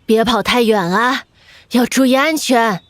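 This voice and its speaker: A woman playing a caring, practical old grandmother in an animated series, speaking plainly. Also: on the high side, a little slow, no room sound, close to an everyday voice. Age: older. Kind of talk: animation voice acting.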